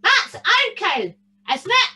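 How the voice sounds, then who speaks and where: A woman voicing an angry, commanding giant in a children's story, loud and booming. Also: huge pitch swings, takes it slowly, full character voice. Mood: indignant. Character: angry booming giants guarding a stolen cow.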